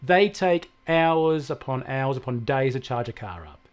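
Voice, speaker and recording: A man's voice: medium pitch, lively intonation, measured pace, clear, resonant tone. An Australian man co-hosting a casual tech talk, explaining things in a plain-spoken, opinionated way. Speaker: technology show co-host and expert.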